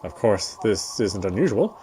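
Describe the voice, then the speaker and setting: A man's voice: on the low side, very animated, a little slow, noisy background. A man reading visual novel narration aloud during a playthrough, sometimes stumbling and rereading a phrase. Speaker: gamer reading story text in a playthrough.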